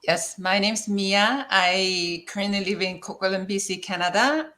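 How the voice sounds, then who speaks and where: A woman's voice: medium pitch, measured pace, pushed and bright. A woman from Korea speaking informally as a co-host on an online talk show. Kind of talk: informal self-introduction.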